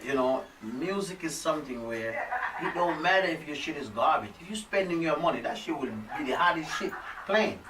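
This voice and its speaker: A man, a DJ, talks in a rambling, emphatic way with a slightly high voice. He sits away from the desk mic in a small studio. The room is echoey and a faint hiss runs underneath. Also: lively intonation, a little fast, sharp tone, distant mic. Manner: rambling, emphatic, repeats "you know what I mean". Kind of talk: casual studio chat. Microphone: desk microphone.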